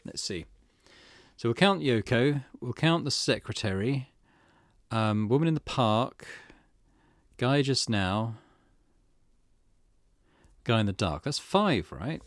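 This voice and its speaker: A man's voice: mid-range voice, expressive, slow, pauses often, dry recording, clean studio sound. A middle-aged man giving casual let's play commentary, easygoing and thinking aloud as he goes. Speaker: gaming channel host.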